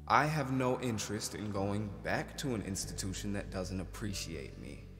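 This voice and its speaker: A man's voice: fairly low pitch, animated, normal speed, lightly acted. Sullen, curt man played by an actor, reverberant. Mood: curt, defensive. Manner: curt, dismissive. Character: Ross, sullen, defensive, withdrawn former academic man. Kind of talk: naturalistic stage-play dialogue. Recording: long hall reverb with music behind.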